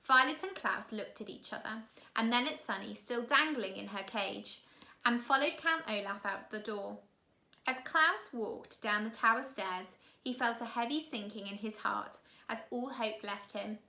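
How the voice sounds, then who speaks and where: Bright-voiced woman reading a storybook, roomy sound. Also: fairly high pitch, unhurried, gravelly. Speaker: teacher reading a children's novel aloud. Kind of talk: expressive storybook narration. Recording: roomy, echoey room sound.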